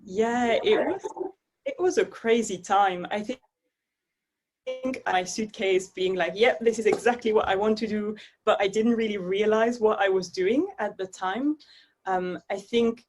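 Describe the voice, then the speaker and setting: A woman's voice: medium pitch, unhurried, darkish tone. A young woman from Belgium, a native French speaker, talking about her dance training in a friendly, thoughtful interview. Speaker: dance artist guest. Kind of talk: casual interview chat.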